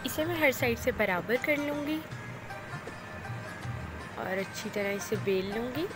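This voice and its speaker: A woman calmly explains each cooking step in a voice-over, speaking directly to viewers. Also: a little high, unhurried. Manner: calm, instructive, addresses viewers directly. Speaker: cooking channel host. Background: loud background music.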